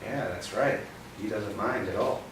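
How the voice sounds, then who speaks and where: A man's voice: fairly low pitch, moderate pace. Man reading a storybook to a child. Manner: expressive storybook reading broken by gentle teaching questions. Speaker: read-aloud host. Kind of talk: reading a picture book aloud to a child. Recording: roomy, distant mic with background noise.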